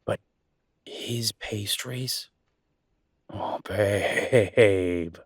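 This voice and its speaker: A man playing a casual, affectionate chef boyfriend in a roleplay audio, talking informally and a bit haltingly. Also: fairly low pitch, slightly rough, dry recording, clean studio sound, pitched below the performer's own voice, heavily acted. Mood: awed, excited.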